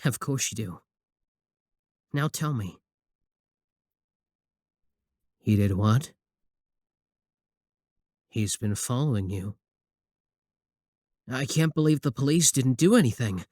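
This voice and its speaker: A man's voice: mid-range voice, lively intonation, slow, long pauses, darkish tone, no room sound, clean studio sound. A young man acting a possessive, obsessive student admirer in a scripted ASMR roleplay, sweet yet menacing. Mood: simmering anger.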